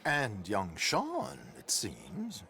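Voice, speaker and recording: Theatrical, swooping Old West dandy. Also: male voice, on the low side, wildly swooping pitch, vocal fry, sharp tone, slight background noise, heavily acted. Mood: measured, informative. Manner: suave, flowery, unhurried. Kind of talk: video game cutscene acting.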